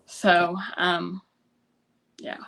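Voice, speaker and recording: A woman with an American accent speaking conversationally as an interview guest, halting often to gather her thoughts. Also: lowish voice, monotone, creaky, fairly bright tone, low-quality recording. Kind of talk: conversational personal storytelling.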